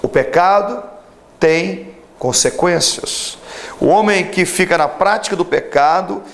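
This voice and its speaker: A pastor in his forties preaching a televised sermon and leading a fervent prayer, stretching out emphatic phrases. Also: on the high side. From Brazil. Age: 40s.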